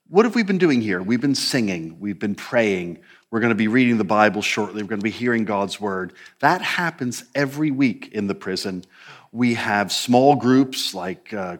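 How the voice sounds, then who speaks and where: A man in his fifties from New Jersey with an American accent touched by Scottish, a prison chaplain telling his story in a church interview. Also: medium pitch. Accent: American with a slight Scottish tinge. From New Jersey, United States. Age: 50s. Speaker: prison chaplain and theology teacher.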